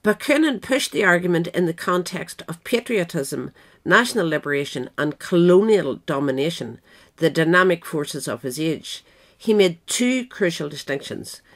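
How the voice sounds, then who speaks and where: A woman reading a scripted history essay aloud in a measured, formal manner. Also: fairly low pitch, lively intonation. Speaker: audiobook reader.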